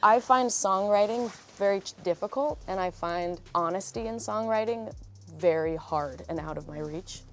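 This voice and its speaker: A woman's voice: medium pitch, normal speed. A woman singer-songwriter speaking candidly in a casual interview.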